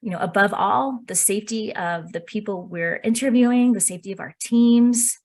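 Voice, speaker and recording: A woman gives a webinar presentation over a computer microphone. Her pitch sits in an ordinary middle range, and her delivery is measured and earnest, with frequent pauses of "um" as she walks through her points. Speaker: workshop presenter. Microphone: computer mic on a video call.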